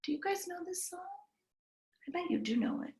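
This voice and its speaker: A woman reading a storybook aloud to young children in an animated, expressive way, breaking off to chat with them. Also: very high voice, normal speed, vocal fry. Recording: roomy, echoing livestream sound.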